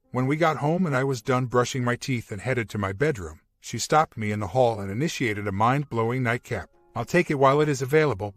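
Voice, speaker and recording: A man reading a first-person personal story aloud as scripted narration. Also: medium pitch. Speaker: narrator reading online relationship stories.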